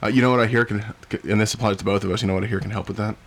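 A man's voice: lowish voice, fairly even intonation, very fast, a little hiss or hum. A man co-hosting a casual podcast, crude and joking, with a slight cough. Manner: crude, joking, bursts of loud laughter. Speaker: podcast co-host. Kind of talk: casual comedic chat.

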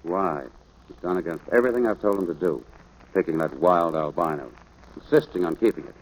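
An actor playing a stern, gruff ranch boss and father in a 1940s radio drama. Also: sits low, expressive, brisk. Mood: stern, irritated. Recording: noisy old radio drama recording.

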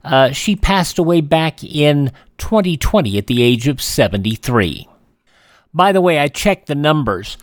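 A man hosting a radio show, reading out a list of dates and names in a halting, deliberate way. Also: a little high, lively intonation, no room sound, clean studio sound. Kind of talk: radio almanac narration.

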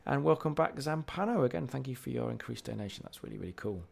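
Gravelly guy wrapping up his rpg podcast, upbeat, rattles off the plugs. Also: medium pitch, animated. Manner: upbeat, reads plugs and thanks quickly. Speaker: podcast host and player. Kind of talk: casual outro chat and sign-off.

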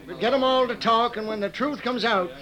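High-voiced western sheriff. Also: male voice, a little fast, sharp tone. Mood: firm. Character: stern, folksy old-West sheriff. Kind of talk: 1950s radio western acting. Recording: 1950s radio recording, busy background.

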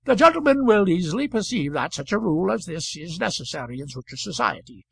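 A man's voice: high-pitched, very animated, fairly quick, gravelly, fairly bright tone, breathy edge, no room sound, studio quality, exaggerated voice. A man voicing a long-winded, pompous male speechmaker with an oratorical delivery.

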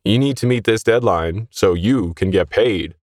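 A man's voice: fairly low pitch, moderate pace, a little mellow, dry recording, studio quality, lightly acted. A man voicing a smug, pushy male shift manager, condescending and insistent, in an audio story. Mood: threatening.

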